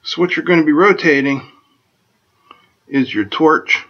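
A man's voice: on the high side, unhurried, muffled recording. A middle-aged man hosting a welding lesson in his home workshop, talking in a plainspoken, folksy way with self-deprecating jokes. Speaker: welding instructor and host. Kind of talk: casual how-to demonstration.

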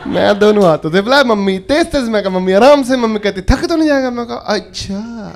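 High-voiced man doing stand-up comedy. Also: brisk, background noise. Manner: animated, acts out quotes in shifting voices. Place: comedy club stage before a live audience. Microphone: handheld stage microphone. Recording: live club audience laughter.

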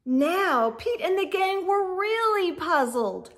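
High, animated woman reading a storybook. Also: takes it slowly. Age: middle-aged. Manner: expressive, exaggerated emphasis, sing-song refrains. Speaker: storytime read-aloud host. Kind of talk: animated children's storybook read-aloud. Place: indoor room with bookshelves.

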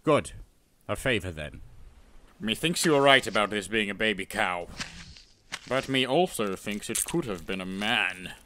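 A man's voice: on the low side, animated, a little slow, bright, forceful tone, a little hiss or hum. A man voicing a pompous, boastful medieval English knight and sea captain for a cartoon, with grandiose, archaic, declamatory delivery. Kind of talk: theatrical cartoon character acting.

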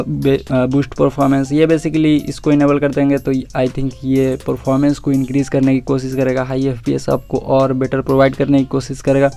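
A man's voice: medium pitch, mellow. A man giving a casual phone feature walkthrough, mixing English words into informal speech. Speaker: tech reviewer.

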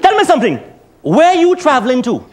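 A man's voice: very high-pitched, huge pitch swings, fairly quick, some chatter behind. A middle-aged man with a Trinidadian accent, playing a nervous, earnest first-time traveller in a comedy sketch on a theatre stage. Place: theatre stage with live audience. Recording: stage recording with audience laughter.

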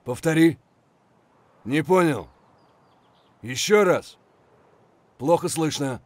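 An actor playing a gruff, foul-mouthed Russian soldier with a husky voice, speaking in terse phrases for a military shooter game. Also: male voice, fairly high pitch, animated, takes it slowly, no room sound. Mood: terse, businesslike.

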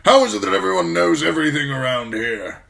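A man voicing a gruff, irritable old lord commander in a fantasy audiobook, grumbling bluntly with grim, weary disgust. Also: on the high side, sing-song, brisk, sharp tone, voice pushed up, heavily acted.

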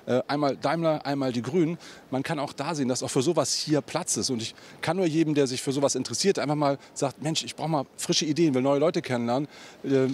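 A man's voice: medium pitch, quick pace, slight background noise. A middle-aged man reporting from a convention hall, interviewing guests with a handheld mic and chatting between questions with many fillers. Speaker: event reporter and host. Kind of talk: on-site event interviews and wrap-up to camera. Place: large indoor convention hall. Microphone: handheld microphone.

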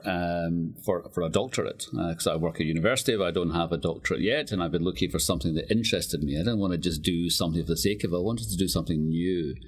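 A man's voice: deep voice, breathy edge. A man from Scotland chatting casually as an interview guest, with a wry, self-deprecating manner. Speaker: guest, writer and lecturer.

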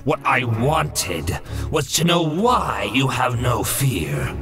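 A man's voice: medium pitch, big pitch swings, slow pace, music in the background. A man playing an urbane, arrogant, soul-eating bogeyman demon in a comic dub, speaking formally and imperiously. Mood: probing, imperious. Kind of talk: comic dub villain acting.

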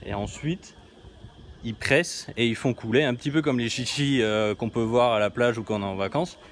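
A man's voice: medium pitch, normal speed. A man in his thirties, filming himself with a phone at arm's length outside a small village workshop, explaining things casually with lots of fillers and restarts. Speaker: travel vlogger. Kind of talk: casual explanatory vlog narration. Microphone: phone camera at arm's length. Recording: noisy outdoor phone recording.

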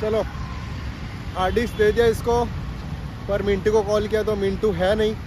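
A man's voice: sits high, flat delivery, moderate pace, background noise. A young man talking casually to a phone held at arm's length, mixing Hindi with English, in a vlog. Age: young adult. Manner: chatty, mixing Hindi and English, bits of Odia. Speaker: vlogger. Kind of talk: casual travel vlog to camera. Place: indoors and outdoors, rooms, street, station. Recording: music playing behind the voice.

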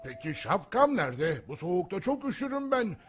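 High-pitched plaintive old snowman. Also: very animated, moderate pace. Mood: plaintive, needy. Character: old snowman, needy, wanting eyes and clothes. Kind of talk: children's cartoon dialogue. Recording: music behind the voice.

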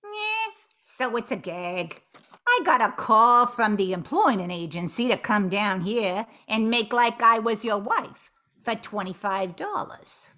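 Woman doing brassy radio play dame, forceful bright voice, high, slow and emphatic. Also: expressive. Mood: matter-of-fact. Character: brassy hired woman posing as a wronged wife. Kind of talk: amateur old-time radio play acting. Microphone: computer on video call.

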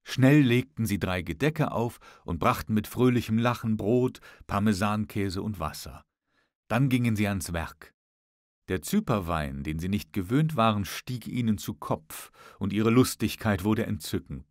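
A man narrating a literary audiobook in a measured, expressive storytelling manner. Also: fairly low pitch, dry recording, clean studio sound.

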